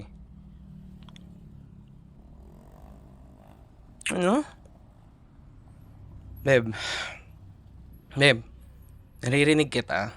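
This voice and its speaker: Male actor as young Filipino boyfriend for audio drama: medium pitch, casual Taglish, coaxing, caring, easily exasperated. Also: fairly bright tone. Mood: frustrated, hurt. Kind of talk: boyfriend roleplay audio, intimate conversational acting.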